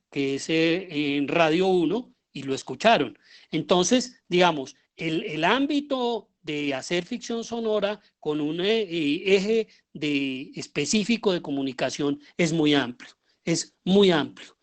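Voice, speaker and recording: Colombian guy, middle aged, giving an informal talk, thoughtful, kinda halting. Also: high voice. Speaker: guest lecturer, theatre and radio drama director.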